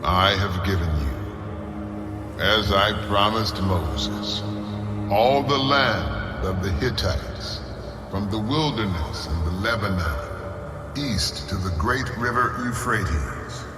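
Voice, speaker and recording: Solemn divine voice, low, extremely slow, audio scripture drama, loud music behind. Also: male voice, echoey room. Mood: solemn, promising. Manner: solemn, measured, deliberate. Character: God, solemn commanding divine voice.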